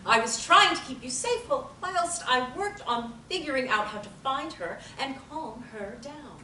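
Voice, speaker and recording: Actress reading formal cool therapist, mid pitch, distant and roomy, steady hiss. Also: female voice. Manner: formal, measured, avoids contractions. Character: composed, evasive, faintly sinister woman therapist. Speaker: table-read actor. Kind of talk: script table reading.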